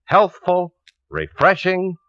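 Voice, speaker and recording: A man's voice: high voice, huge pitch swings, slow, no echo. A man announcing in polished, emphatic 1950s radio commercial style. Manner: polished, emphatic selling delivery. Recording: muffled old radio recording.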